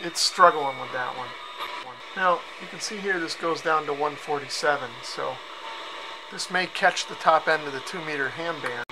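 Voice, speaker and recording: A man giving a casual, low-key hands-on radio demonstration, musing aloud as he goes. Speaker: radio reviewer. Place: concrete-walled cellar. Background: shortwave radio noise.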